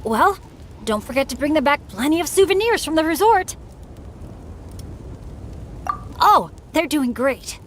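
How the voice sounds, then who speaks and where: A woman's voice: sits high, lively intonation, normal speed, a bit bright, slight background noise. A woman voicing an earnest, good-natured teenage boy adventurer in an anime-style game, chatty and a little bashful. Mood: bashful, upbeat.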